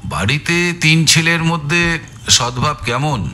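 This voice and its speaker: A shrewd male investigator in an audio thriller. His voice is slightly high and bright, and he takes his time. He puts questions courteously and keeps probing, with thoughtful pauses. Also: expressive, soft background music, exaggerated voice. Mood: probing, casual. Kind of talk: audio story dramatised dialogue.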